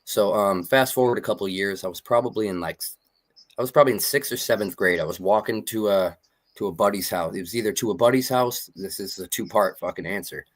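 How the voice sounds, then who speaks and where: Low-voiced laid-back man from Maine. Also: quick pace. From Maine, USA. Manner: laid-back, heavy swearing, rambling. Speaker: rapper, interview guest. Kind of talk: casual interview storytelling.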